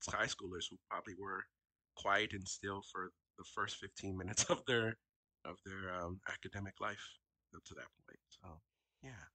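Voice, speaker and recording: A man whose first language is Haitian Creole speaks in a low voice with a very bright, forceful edge. He tells his story as a podcast guest, reflective and casual, and laughs easily. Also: husky, dry recording, rough recording. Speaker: podcast guest, actor and educator. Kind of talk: casual interview conversation.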